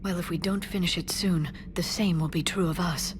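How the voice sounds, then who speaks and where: A woman playing a gentle, scholarly alien in a video game, thoughtful, hopeful and careful in speech. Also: lowish voice, steady tone, normal speed, background noise, natural voice. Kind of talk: video game character dialogue.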